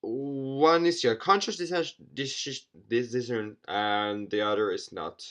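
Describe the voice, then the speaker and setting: A man plays host to a visual novel playthrough. He reads the text theatrically, tripping over words and adding casual comments. His voice sits at a middling pitch. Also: a little slow, fairly bright tone. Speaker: video game let's player, host.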